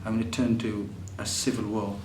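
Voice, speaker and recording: A young man from Syria speaks, recounting his story with pauses, fillers and restarts. He sits some way from the mic in an echoey room, with faint ambient noise behind him. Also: on the low side, little pitch movement, darkish tone, recorded from a distance. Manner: hesitant, many fillers and restarts. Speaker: refugee telling his story. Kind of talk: personal testimony.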